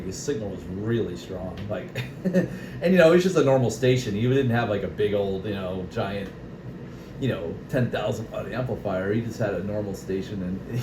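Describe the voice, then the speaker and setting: A low-pitched American man talks casually as a radio hobbyist. He explains in a chatty way and keeps checking that the listener agrees. The room is echoey, with clear background noise. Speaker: CB radio operator and video maker. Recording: noisy, echoey room recording.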